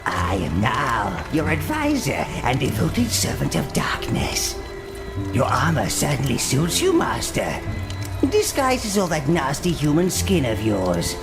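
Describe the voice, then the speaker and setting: A man's voice: mid-range voice, huge pitch swings, measured pace, gravelly, exaggerated voice. A man playing a gleeful, sinister old minion who serves evil, telling a fantasy game tale in a sly, theatrical way. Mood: gleeful, servile. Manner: sly, theatrical, relishing every word. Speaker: narrator and advisor. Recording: loud music behind. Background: loud music.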